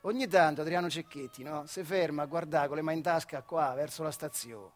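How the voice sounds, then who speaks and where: A man tells a story as a theatrical monologue, in a rather high voice with a central Italian accent from the Marche. He is colloquial and emphatic, addressing the listener directly, with faint music behind him. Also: fairly quick. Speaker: stage actor and storyteller.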